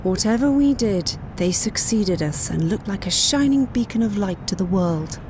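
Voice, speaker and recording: A woman acts a villainous matriarch in a sci-fi drama, grand and condescending. She speaks in measured phrases at a middle pitch, over a clear humming noise. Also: animated, lightly acted. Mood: grand, resolute. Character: scheming villainous matriarch of a power-hungry space-company family. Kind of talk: sci-fi audio drama acting.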